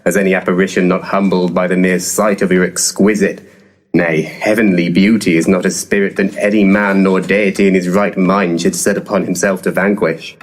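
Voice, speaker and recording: A man's voice: sits low, level tone, moderate pace, natural voice. An actor playing a pompous, verbose male paranormal investigator in a comedic audio drama, florid one moment and crude the next. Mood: gushing, florid. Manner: grandiloquent, verbose.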